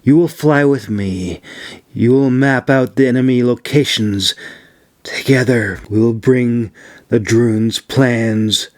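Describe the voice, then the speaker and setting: A man's voice: mid-range voice, slow, close to an everyday voice. A man voicing a commanding, seductive and vengeful woman warrior in a fantasy audiobook, with intense, purring delivery.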